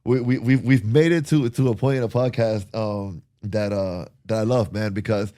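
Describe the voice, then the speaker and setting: A man's voice: lowish voice, steady tone, normal speed, dark tone. A man hosting a podcast in a casual interview style, repeating words as he goes and often affirming his guest.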